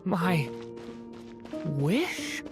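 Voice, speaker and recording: Video game role: weak, frightened young boy, plaintive, slow faltering delivery over prominent music. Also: fairly low pitch, big pitch swings, exaggerated voice. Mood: anxious, pleading. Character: weak, confused, frightened young boy made by magic, Durin. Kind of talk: dramatic video game voice acting. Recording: loud music behind the voice.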